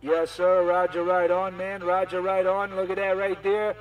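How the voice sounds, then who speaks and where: Casting: high-voiced male CB operator, showy and repetitive banter, sounding distant from the mic. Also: completely flat delivery, moderate pace, clear, resonant tone, faint music behind. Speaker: CB radio operator. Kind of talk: CB radio banter in repeated chanted catchphrases. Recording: CB radio chatter, off the mic.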